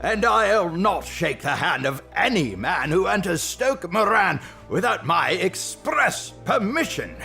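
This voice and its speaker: An actor playing an older, bad-tempered, imperious stepfather, blustering and contemptuous in a gravelly creak, in a period audio drama. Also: high voice, very animated, unhurried, few pauses, sharp tone, faint music behind, heavily acted. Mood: indignant.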